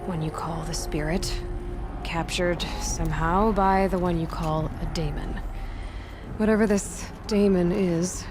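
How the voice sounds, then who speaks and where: Woman playing young wry huntress in a game, lowish voice, plainspoken and determined, noisy ambience behind. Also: steady tone, unhurried, natural voice. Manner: direct, dry, matter-of-fact. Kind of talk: video game voice acting.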